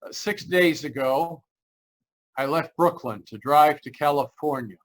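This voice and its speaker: An actor playing an anxious, halting man in his thirties in an old-time radio drama. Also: fairly high pitch, lightly acted. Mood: anxious, urgent. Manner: urgent, halting, nervous. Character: anxious man in his thirties recounting a terror, insisting he is sane.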